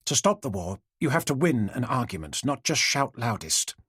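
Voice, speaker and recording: A man voicing a woman academic who calmly reproves a heckler. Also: medium pitch, moderate pace, slightly rough, no echo, clean studio sound. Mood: reproving.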